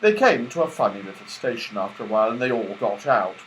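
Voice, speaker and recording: A man reading a children's story aloud as an expressive narrator. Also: on the low side, fast talker, slight background noise, poor audio. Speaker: audiobook narrator.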